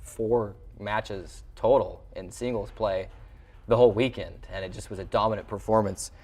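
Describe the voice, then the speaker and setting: Low-voiced man hosting a sports interview. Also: fairly even intonation, moderate pace, darkish tone. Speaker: sports show host, play-by-play broadcaster. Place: television studio.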